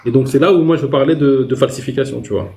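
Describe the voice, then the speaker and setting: A man hosting a live online discussion, speaking hesitantly and reflectively, with many pauses. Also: mid-range voice, creaky, warm tone, echoey room, soft background music.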